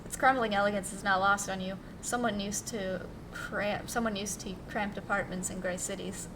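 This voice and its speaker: A woman streamer reading visual novel text aloud in an expressive, theatrical way and adding side comments. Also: medium pitch, recorded from a distance, background noise. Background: music.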